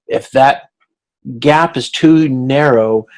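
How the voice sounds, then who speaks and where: A man speaking as an instructor in an informal recorded lecture, explaining in a halting, conversational way with a gravelly voice. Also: mid-range voice, a little mellow. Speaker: instructor giving class feedback.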